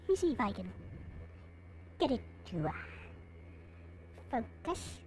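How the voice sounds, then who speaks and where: A woman's voice: a little high, very animated, unhurried, a little mellow, slight background noise. A woman hosting a livestream, chatting casually to viewers and replying to their comments. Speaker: livestream host. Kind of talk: casual livestream chat showing collectible figures.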